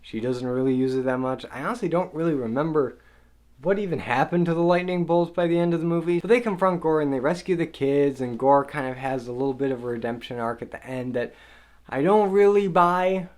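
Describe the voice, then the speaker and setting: Higher-voiced man giving a film review. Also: a little fast, a little mellow. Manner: conversational, questioning, mildly exasperated critique. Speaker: movie reviewer. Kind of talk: spoiler film review monologue.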